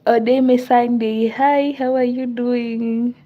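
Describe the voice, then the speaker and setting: A tired, unwell woman in a casual live stream, greeting viewers and chatting softly with frequent laughs, sometimes dropping to a whisper. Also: a little high, level tone, takes it slowly, highs cut off. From Kenya. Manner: soft-spoken, laughs often, drifts into whispers. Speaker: livestreamer.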